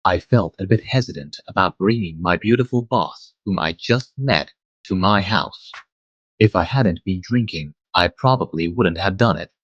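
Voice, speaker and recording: A man's voice: low voice, moderate pace, fairly bright tone, no echo, dull audio. A man playing a devoted, conflicted guardian who narrates his own story in a manga dub. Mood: hesitant, introspective.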